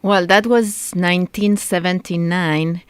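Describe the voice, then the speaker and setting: A woman's voice: on the low side, steady tone, measured pace, clear, resonant tone, no echo. A middle-aged woman with an Argentine Spanish accent, chatting about her life as an interview guest. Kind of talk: conversational personal storytelling.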